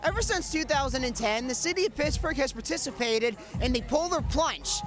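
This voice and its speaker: A man's voice: very high-pitched, animated, a little fast, over music. A young American man reporting and interviewing in a presentational, announcer-like broadcast style. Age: young adult. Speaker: student sports and news reporter, interviewer.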